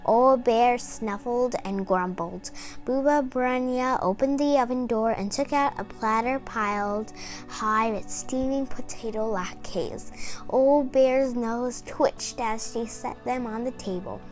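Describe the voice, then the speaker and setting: A woman reading a children's storybook aloud in an expressive narrating style. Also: on the high side, slow, faint music behind, low-quality recording.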